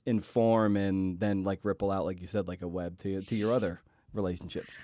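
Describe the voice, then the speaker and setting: A man hosting a conversational literary podcast, asking halting, rambling questions and often repeating words as he goes. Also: low voice, level tone, warm tone, dry recording. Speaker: podcast host and interviewer.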